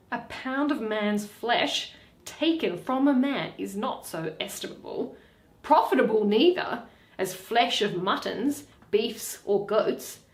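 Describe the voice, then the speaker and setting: A bitter, wronged older moneylender from a Shakespeare play, acted out by a woman, mocking and sardonic. The pitch is high and swings widely, and the words are drawn out slowly in an echoing room. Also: expressive. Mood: indignant, sly. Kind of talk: dramatic Shakespeare reading. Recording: roomy, echoing.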